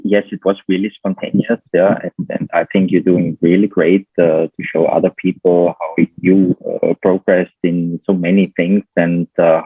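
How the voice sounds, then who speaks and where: A German-accented man with a very low voice talks hesitantly in a casual chat, pausing and restarting often. His voice is husky and comes through a tinny, dry phone-like line. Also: no echo. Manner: hesitant, many fillers and restarts. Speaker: guest.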